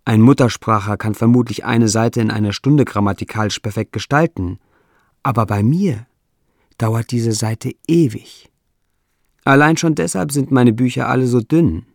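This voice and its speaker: A man with a non-native German accent that struggles with umlauts, reading his own essay in a measured, deliberate way with dry, self-ironic humor. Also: medium pitch, creaky, no echo. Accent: non-native German, trouble with umlauts.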